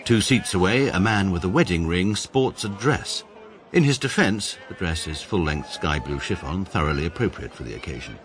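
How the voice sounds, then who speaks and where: An actor playing a pompous, precise middle-aged English barrister, narrating his own life deadpan in an upper-class accent for a radio comedy drama. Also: deep voice, moderate pace. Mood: dry, self-satisfied.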